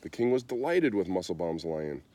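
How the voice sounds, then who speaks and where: Deep-voiced, animated male story reader. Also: moderate pace, a little hiss or hum. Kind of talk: expressive children's story read-aloud.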